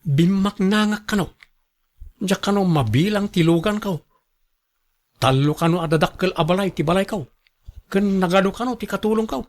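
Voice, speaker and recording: A man's voice: high-pitched, normal speed, pauses often, lightly acted. A man with an Ilocano accent playing a lazy, dreamy husband who stubbornly boasts of coming riches in a radio drama. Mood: boastful, hopeful.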